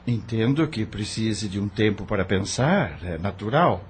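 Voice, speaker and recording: A man's voice: lowish voice, animated, unhurried, slight background noise. An actor playing an earnest, resolute widowed father in an audio drama, speaking formally. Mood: composed.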